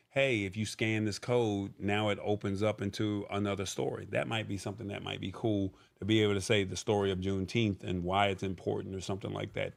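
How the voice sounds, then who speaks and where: Male livestream host, low and creaky voice, informal, conversational delivery. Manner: informal, conversational, thinking aloud. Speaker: live show host. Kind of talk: casual livestream talk, reading viewer comments.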